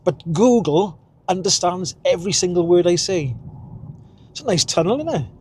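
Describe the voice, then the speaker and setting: Welsh man in his 50s, higher voice, rambling car-vlog chat, faint road hum behind. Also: animated, moderate pace, a little mellow. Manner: chatty, rambling, chuckles at himself. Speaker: vlogger. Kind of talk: casual driving vlog. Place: inside a car while driving.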